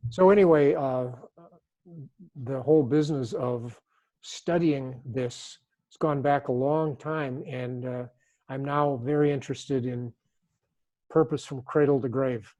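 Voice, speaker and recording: An older man speaks as an author being interviewed, thinking aloud and circling back over his own phrases. His voice sits at an ordinary middle pitch, and his delivery stays reflective. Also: steady tone, a little slow, warm tone. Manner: thoughtful, repeats and restarts phrases. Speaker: author and guest.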